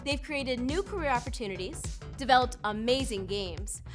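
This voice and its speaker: A woman's voice: on the high side, lively intonation, moderate pace. A woman reading scripted documentary narration as a host. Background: music.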